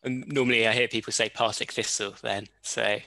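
A man's voice: fairly low pitch, steady tone, brisk, creaky, bright, forceful tone, no room sound. A man hosting an interview, asking questions with polite curiosity. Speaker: interviewer, host. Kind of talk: remote interview.

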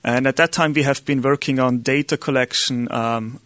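Halting Austrian man giving a lecture. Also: mid-range voice, fairly even intonation, fairly bright tone, no room sound. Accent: Austrian German. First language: German. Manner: halting, many ums and restarts. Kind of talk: live conference lecture.

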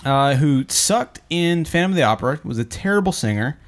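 A man's voice: fairly high pitch, expressive, brisk. A man hosting a casual movie discussion, joking, profane and opinionated. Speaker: podcast host leading a film discussion.

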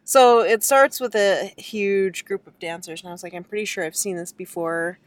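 A woman's voice: mid-range voice, moderate pace, no echo. A woman who is a dance critic, a guest on a podcast recorded in a parked car, talking in a chatty way with many fillers. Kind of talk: casual conversation.

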